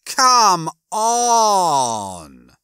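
Man doing a smug, high-pitched gloating hologram. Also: big pitch swings, bright, forceful tone, no room sound, clean studio sound, full character voice. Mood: gleeful, pompous. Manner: theatrical, sneering, mock-cheerful, drawn-out words. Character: smug, gloating, pompous male hologram bunkmate.

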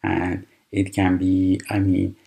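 Brief: native Persian-speaking man, very low and unhurried, tutorial presenter with frequent "uh"s. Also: completely flat delivery, creaky, dark tone. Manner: unhurried, explanatory, with frequent fillers and restarts. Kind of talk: screen-recorded software tutorial.

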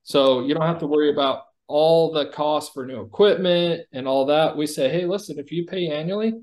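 Higher-pitched man giving an informal sales demo. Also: normal speed, a little mellow. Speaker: company executive giving a product demo.

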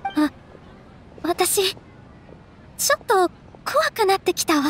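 A woman playing a refined, gentle young noblewoman with a polite, ladylike way of talking in a Japanese anime game. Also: very high voice, big pitch swings, slow, a little hiss or hum, full character voice. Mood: uneasy.

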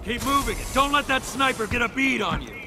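A hard-edged male squad commander from an action game. His voice sits high and swings widely as he gives curt orders, over loud music and gunfire. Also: expressive, a little fast. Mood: commanding, urgent. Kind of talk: video game combat dialogue.

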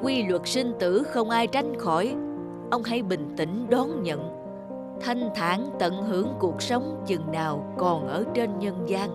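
A woman's voice: medium pitch, lively intonation, takes it slowly, over loud music. A woman voicing a solemn, commanding Grim Reaper in a folk-tale cartoon, speaking in a formal, stately manner. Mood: solemn, merciful.

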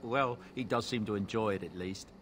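A man's voice: fairly low pitch, fairly bright tone, slight background noise, close to an everyday voice. An actor voicing a genial middle-aged dwarf merchant in a fantasy video game, chatty and folksy as a fond father. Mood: genial, salesmanlike. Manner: folksy, chatty, unhurried storytelling. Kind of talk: video game dialogue acting. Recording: game audio with clear background ambience.

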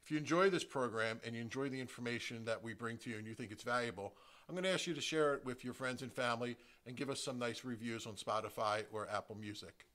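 A middle-aged American man from New Jersey hosting a podcast, giving a prepared solo introduction with emphatic, deliberate phrasing. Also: medium pitch, a bit bright. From New Jersey, United States. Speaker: podcast host, real estate agent.

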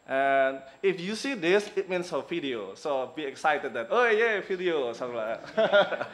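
A man from Indonesia whose first language is Javanese, giving a relaxed conference talk in English with many fillers and small laughs. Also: medium pitch, slight background noise. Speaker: conference speaker. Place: dim lecture hall with audience.

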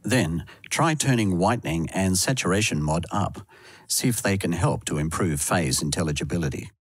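A man reading scripted instructional narration for a software tutorial, close to the microphone. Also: low voice, lively intonation, moderate pace, slightly rough, dry recording, soft background music.

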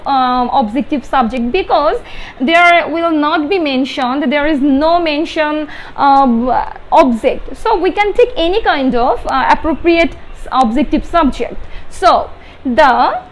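A young woman teaching an English grammar lesson at a whiteboard, explaining haltingly and repeating herself. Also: high-pitched, lively intonation. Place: indoor room.

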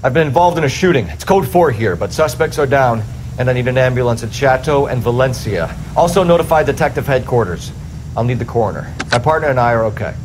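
An actor playing a young male detective giving a brisk, clipped police radio report in a video game. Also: mid-range voice, animated, lightly acted. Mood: urgent, businesslike. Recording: game audio with clear background noise.

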